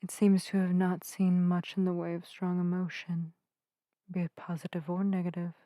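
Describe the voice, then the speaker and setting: Soft, mellow, low-voiced gentle witch played by a woman. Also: little pitch movement, normal speed, no room sound, clean studio sound, lightly acted. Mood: calm, explaining. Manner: soft-spoken, soothing, old-fashioned formal phrasing. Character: gentle, earnest young witch healer, reassuring and formal. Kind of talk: narrative ASMR roleplay addressed to the listener. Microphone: close microphone in a dry space.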